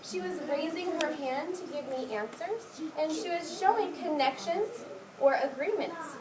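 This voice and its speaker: A woman's voice: high voice, lively intonation, a little slow, crowd noise. A woman teaching young children in a classroom, giving short, directive instructions and praise. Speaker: elementary school teacher. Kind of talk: classroom read-aloud lesson addressed to young children. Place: indoor classroom. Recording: roomy, distant classroom recording with clear background noise.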